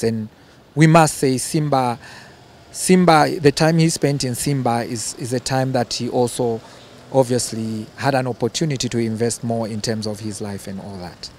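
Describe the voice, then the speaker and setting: A man's voice: mid-range voice, a little mellow, slight background noise. A man answering interview questions, often repeating words as he gathers his thoughts. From Zambia. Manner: hesitant, repeats words, chuckles between phrases.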